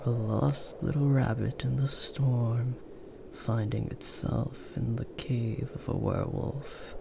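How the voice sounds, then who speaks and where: A man voicing a big, gentle, protective werewolf in a comforting ASMR roleplay, speaking in a hushed, soothing, breathy murmur. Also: medium pitch, level tone, slow, background noise. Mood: tender, soothing.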